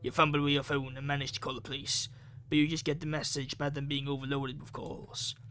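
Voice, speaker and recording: A man's voice: mid-range voice, flat delivery, quick pace, pushed and bright, clean tone. A man reading a visual novel aloud for a gaming video in a dramatic, theatrical way. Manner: theatrical, acts out the dialogue.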